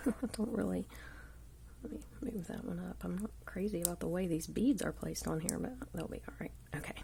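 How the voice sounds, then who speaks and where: Congested woman craft host, low gravelly voice, rambling, faint hiss behind. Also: brisk, dark tone. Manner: chatty, rambling, often drops to a murmur. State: congested with a cold. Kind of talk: casual live craft tutorial. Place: indoors at a craft table. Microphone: overhead camera on hands at a table.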